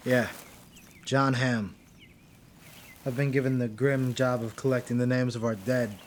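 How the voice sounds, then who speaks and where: A man acting a somber airship crewman in an audio drama. His mid-pitched voice is weary and matter-of-fact. Faint noise runs behind it. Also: fairly even intonation, a little slow, close to an everyday voice. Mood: grim, subdued. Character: grim young airship crewman surveying the dead.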